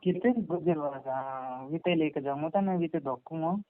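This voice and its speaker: Man, Haryanvi accent, high-pitched, argumentative domestic phone talk, thin telephone-quality recording. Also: normal speed. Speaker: husband on a phone call. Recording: muffled narrowband phone call recording.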